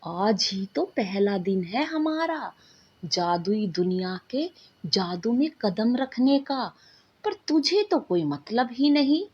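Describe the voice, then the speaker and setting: A woman's voice: on the high side, very animated, takes it slowly, husky, muffled recording, exaggerated voice. A woman voicing a dreamy, bossy young girl from a magical world in a bedtime story, earnest and dramatic. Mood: scolding.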